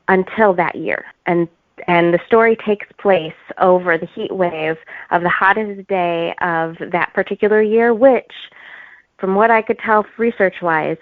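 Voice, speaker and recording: A woman talking as an author guest in a casual interview, with an informal, halting, giggly manner. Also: on the low side, dry recording, narrow, tinny audio.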